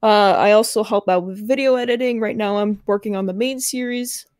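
A woman's voice: medium pitch, fairly quick, darkish tone, no room sound, clean studio sound. A woman speaking casually as a voice actor on a panel. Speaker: voice actor and channel co-creator on a panel.

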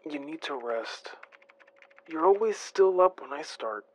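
A man's voice: a little high, animated, measured pace, darkish tone, no room sound, rough recording. A man playing a polite, friendly former agent on night shift in an audio drama, respectful and a little hesitant. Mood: friendly, concerned. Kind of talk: naturalistic audio drama dialogue.

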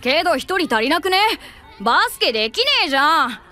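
A brash schoolboy playmate, with a very high, bright voice. He talks bluntly and casually in slang, as in an anime audio drama. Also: sing-song, fairly quick, exaggerated voice. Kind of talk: Japanese anime-style audio drama acting.

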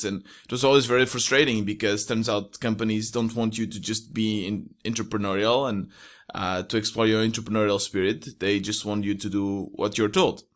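A young man with a Portuguese accent, talking casually as a podcast guest into a close microphone at home. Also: lowish voice. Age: young adult. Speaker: podcast guest, indie entrepreneur. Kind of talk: casual interview chat. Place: small room at home. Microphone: close studio mic with pop filter.

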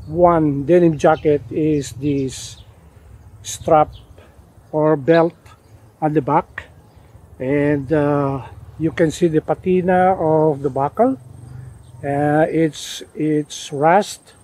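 Slow-talking older man, mellow voice. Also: a little high, fairly even intonation. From Philippines. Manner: unhurried, many "uh" fillers and restarts. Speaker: denim collector reviewing his jacket. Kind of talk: casual show-and-tell. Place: outdoors in a leafy garden.

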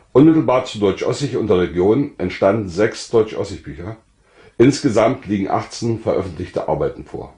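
Older man, low-pitched formal narration. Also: a little mellow. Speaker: writer narrating his life story. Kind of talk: scripted autobiographical narration.

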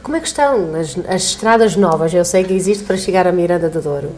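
A woman hosting a chat interview in a small TV studio, chatty and quick with short agreements. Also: fairly low pitch, warm tone. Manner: chatty, frequent backchannel. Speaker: talk show host. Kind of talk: interview questions.

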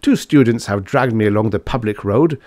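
A man's voice: fairly low pitch, brisk, darkish tone, no echo, clean studio sound, lightly acted. A man voicing an irascible, pompous older professor in a storybook reading, blustering and imperious. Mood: indignant. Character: irascible, pompous older male German professor, bellowing indignantly.

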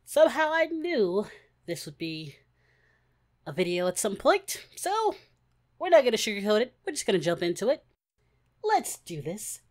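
A woman's voice: mid-range voice, very animated, moderate pace, long pauses, darkish tone, natural voice. A woman voicing a cheerful young male anime hero, giving exclamatory, slangy, mock-dramatic reaction commentary. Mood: upbeat. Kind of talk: playful reaction video commentary.

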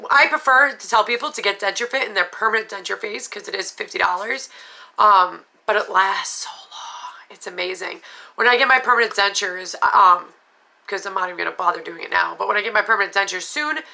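Nasal, chatty woman with a gravelly edge. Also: medium pitch, sharp tone. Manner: chatty, blunt, drifts off topic. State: congested from allergies. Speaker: vlogger. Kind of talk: casual chat to camera. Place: small room at home. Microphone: phone camera at arm's length.

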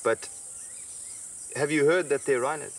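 A man's voice: fairly high pitch, a little slow. A man interrogating someone outdoors, pressing with repeated questions. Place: outdoors in the bush. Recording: distant outdoor mic, faint insect noise.

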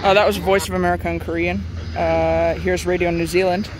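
Young man with an even, higher-pitched voice. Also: a little fast. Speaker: shortwave radio hobbyist. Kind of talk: casual handheld vlog commentary. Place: outdoors in a yard with grass. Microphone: handheld phone camera. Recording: noisy outdoor phone recording.

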